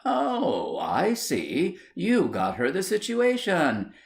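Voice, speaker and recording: A man's voice: on the high side, huge pitch swings, takes it slowly, creaky, pitched above the performer's own voice, exaggerated voice. A man voicing an indignant, jealous and melodramatic young Victorian wife in a storybook, haughty and exclamatory. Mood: scornful jealousy.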